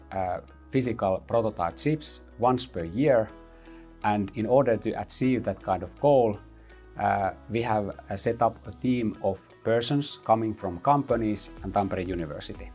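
Middle-aged guy, lowish voice, slow careful explaining w lots of uhs, soft music under. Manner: measured, many "uh" pauses. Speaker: university computing head. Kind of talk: interview explanation. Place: office lab with whiteboard, indoors.

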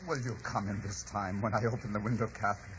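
A man's voice: lowish voice, fairly even intonation, quick pace, a little hiss or hum, lightly acted. An actor playing a delirious, dying man who yearns for his dead love, theatrical and pleading in a radio drama. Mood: feverish. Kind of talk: 1940s radio drama acting. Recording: muffled old radio broadcast with music.

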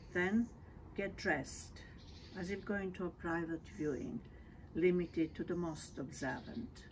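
An older woman from Serbia, reading poetry in measured, deliberate phrases. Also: deep voice. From Belgrade, Serbia. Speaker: poet. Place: outdoors in a leafy garden. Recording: noisy outdoor ambience.

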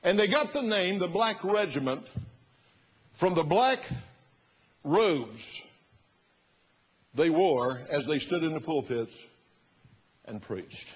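An older man in his sixties with a husky voice, preaching an emphatic, oratorical sermon as a pastor. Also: expressive, takes it slowly, long pauses. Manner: emphatic, oratorical, rising to loud bursts and dropping low. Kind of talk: fiery sermon. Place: large church sanctuary, at the pulpit platform. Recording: muffled recording in a reverberant church hall.

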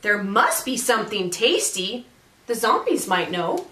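Expressive woman reading a storybook aloud, echoey. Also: mid-range voice, a little slow. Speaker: fifth grade teacher reading aloud. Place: small indoor room with brick wall. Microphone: camera a few feet away. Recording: echoey room, mic at a distance.

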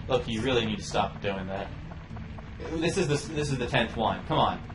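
A man's voice: mid-range voice, expressive, moderate pace, reverberant, background noise. A man playing a game while chatting, blunt and dismissive.

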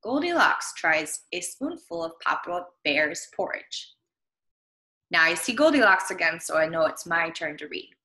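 A woman's voice: lowish voice, very animated, a little slow, pushed and bright. A young American woman, a primary school teacher, explaining a reading task to children in simple steps. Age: young adult. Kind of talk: instructional screen-share lesson for young children. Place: small room at home. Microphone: computer webcam, video recording. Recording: roomy home computer microphone sound.

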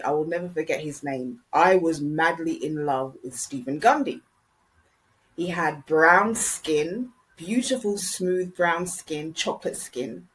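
Deep-ish lady podcasting, blunt and honest, says things twice for effect. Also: fairly bright tone. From Jamaica. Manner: blunt, candid, repeats phrases for emphasis. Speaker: podcast host. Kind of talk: reflective commentary and personal storytelling.

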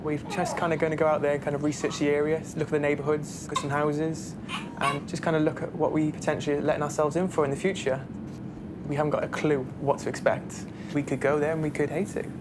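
A man's voice: on the high side, little pitch movement, quick pace. A young man talking casually in an interview as a passenger. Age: young adult. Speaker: airline passenger, new parent. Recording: noisy cabin ambience.

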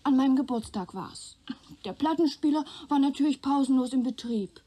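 A polite young woman in a radio play. Her voice is fairly high yet soft and husky, and she talks in a self-possessed, matter-of-fact way. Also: normal speed. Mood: composed, frank. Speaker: actor in a German radio play. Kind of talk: radio drama dialogue.

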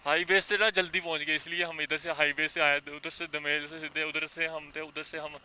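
A young man in his twenties chatting casually and excitedly while riding a scooter, recorded outdoors on a clip-on mic. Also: fairly high pitch, brisk. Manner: chatty, excited, repeats "bhai" and "yaar". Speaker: motovlogger. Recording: wind and traffic noise, dull lo-fi sound.

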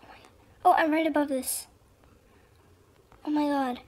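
Young girl gamer w a really high voice, nervous casual commentary, slow pace, bit of noise in the bg. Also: fairly even intonation, darkish tone. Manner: jumpy, nervous, giggly, informal. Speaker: gamer, video creator. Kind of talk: casual horror game playthrough commentary.

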